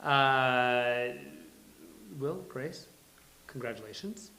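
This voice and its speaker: A man's voice: mid-range voice, very slow, bright, forceful tone, slight background noise. A man hosting a casual panel chat, rambling and jokey as he goes. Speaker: host. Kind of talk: casual panel chat before a live table read. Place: live event room with a small audience. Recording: echoey room.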